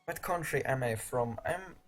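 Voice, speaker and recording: Belgian man, Dutch first language, relaxed game stream host, a bit husky, thinks out loud. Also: mid-range voice, moderate pace, faint music behind. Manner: rambling, laughs often, thinks aloud. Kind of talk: casual game tutorial chat.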